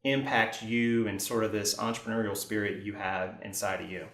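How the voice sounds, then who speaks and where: A man's voice: fairly low pitch, steady tone, normal speed, fairly bright tone, roomy sound. A man hosting an interview, asking long questions that wander and restart. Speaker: interview host.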